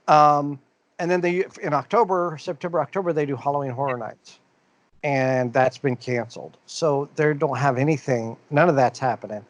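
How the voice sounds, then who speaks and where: A man co-hosting a podcast, talking in a loose, rambling way. He reads the news out and circles back through uh's and restarts. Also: mid-range voice. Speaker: podcast co-host. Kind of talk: casual podcast chat.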